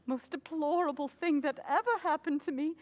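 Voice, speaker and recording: An actress playing a bossy, pompous society hostess who fancies herself a medium, in old-time radio drama style with grand theatrical delivery. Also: female voice, high voice, steady tone, a little fast, muffled recording, lightly acted. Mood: fretful. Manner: imperious, theatrical, grandly intoning. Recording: echoey hall, live audience behind.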